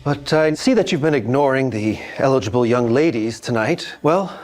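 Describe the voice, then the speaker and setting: A man's voice: mid-range voice, animated, moderate pace, a little mellow, soft background music, close to an everyday voice. A man playing a nervous, obsequious baron in an audio drama, deferential and placating. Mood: anxious placating.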